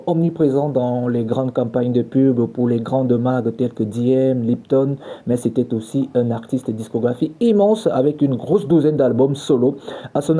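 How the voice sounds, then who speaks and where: A man hosting a culture podcast, speaking in a halting, semi-scripted radio style with searching pauses. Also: medium pitch, mellow. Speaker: podcast host, culture journalist.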